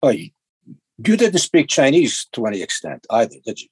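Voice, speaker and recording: Husky older man hosting from his study. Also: mid-range voice, wildly swooping pitch, no room sound. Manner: measured, leading questions. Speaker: podcast host. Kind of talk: interview questions. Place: home study with bookshelves. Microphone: video call webcam.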